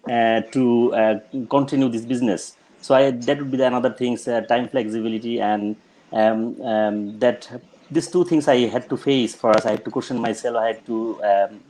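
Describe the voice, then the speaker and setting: A man talks in a casual panel chat at a middle pitch. He hesitates a lot, filling gaps with um and uh and restarting sentences as he thinks aloud. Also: steady tone, normal speed, a little mellow, slight background noise, poor audio. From Bangladesh. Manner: hesitant, many ums and uhs, restarts sentences. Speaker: guest, general practitioner and business mentor.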